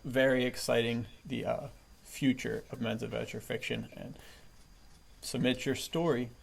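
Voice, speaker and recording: Enthusiastic man with a gravelly edge, podcast host. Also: medium pitch, level tone, unhurried. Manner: enthusiastic, chummy, chuckles often. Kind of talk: casual podcast chat. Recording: faint background noise.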